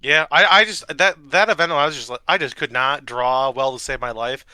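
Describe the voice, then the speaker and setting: A man co-hosting a casual podcast, telling stories in an easygoing, relaxed way. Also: medium pitch, fairly quick, clean tone, no echo. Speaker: podcast co-host.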